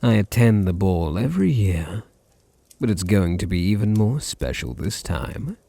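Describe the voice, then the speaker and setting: A dominant aristocratic vampire played by a man speaks in a low British voice, unhurried and husky. He purrs with possessive condescension, teasing his listener softly in an intimate ASMR roleplay. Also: big pitch swings, dry recording, heavily acted. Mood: smug, cold. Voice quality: deep, husky, gravelly.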